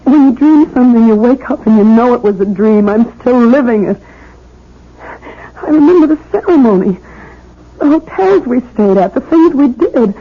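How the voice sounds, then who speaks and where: A woman's voice: a little high, lively intonation, slightly rough. Fragile young woman, muffled old radio. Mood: plaintive, insistent. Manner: halting, nervous, plaintive. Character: fragile, anxious young bride doubting her own sanity. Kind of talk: 1970s radio drama acting.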